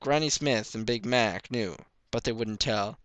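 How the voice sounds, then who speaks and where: A man's voice: mid-range voice, a little slow, fairly bright tone, no room sound. A man reading a story aloud as scripted third-person narration. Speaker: story reader.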